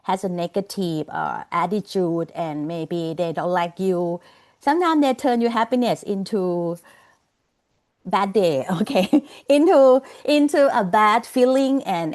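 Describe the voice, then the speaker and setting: A woman's voice: mid-range voice, takes it slowly, a little mellow. A woman giving an online class over a video call, chatty and laughing often, checking in with "okay?" and "you know". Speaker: lecturer.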